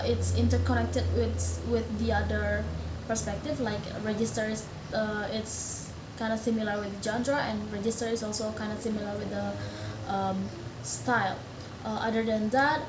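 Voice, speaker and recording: A fairly high-pitched young woman from Indonesia, a native Indonesian speaker, presents for a class and stops often on fillers and restarts. Her voice carries an echo from the room, and clear noise sits behind her. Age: young adult. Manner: hesitant, many fillers and restarts. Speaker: student. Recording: echoey room with background noise.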